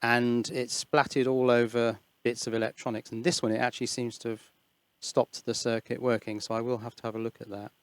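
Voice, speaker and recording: A man's voice: mid-range voice, little pitch movement, brisk, dry recording. A man giving an informal hands-on electronics demonstration on a headset mic, musing and hesitant as he thinks aloud. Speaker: host of an electronics hobby channel. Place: indoors at an electronics workbench. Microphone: headset microphone.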